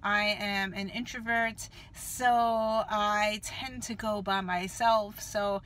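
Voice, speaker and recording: Slow, bright-voiced woman with a cold. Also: medium pitch. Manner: relaxed, filler-heavy, unhurried. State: slight cold, a little nasal. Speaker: book reviewer. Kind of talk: casual book review vlog.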